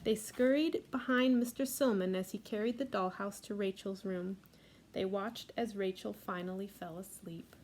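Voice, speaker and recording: A woman reading a picture book aloud for a library storytime, adding gentle asides about the pictures. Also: medium pitch, fairly even intonation, moderate pace, a little hiss or hum.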